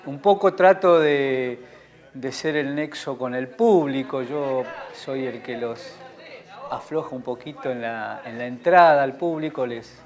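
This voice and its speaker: A man's voice: medium pitch, a little slow, darkish tone. A male actor explaining his role in an interview, hesitant and searching for words.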